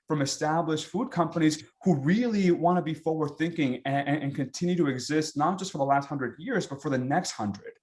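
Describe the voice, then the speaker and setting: A man's voice: on the high side, fairly quick, hardly any pauses, darkish tone, a little hiss or hum. Hesitant man on a panel. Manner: hesitant, many fillers and restarts. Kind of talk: panel discussion.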